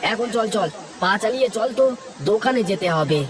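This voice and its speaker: A man voicing a lazy, grumbling village husband in an animated fairy tale, with a whining, exclamatory manner. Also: high voice, unhurried, noisy background. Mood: chatty.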